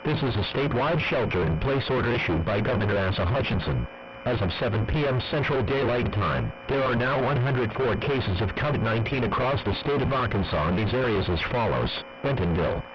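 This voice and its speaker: A synthetic text-to-speech man reading a formal scripted emergency broadcast in a flat, measured, impersonal way. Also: medium pitch. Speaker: emergency alert announcer. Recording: dull lo-fi audio, loud radio noise.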